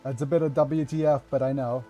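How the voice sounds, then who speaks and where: Mellow-voiced man in his forties, rambling. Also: medium pitch, fairly even intonation, fairly quick, soft background music. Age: 40s. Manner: rambling, chatty, with frequent fillers and self-corrections. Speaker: streamer playing a game. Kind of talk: casual live gameplay chat.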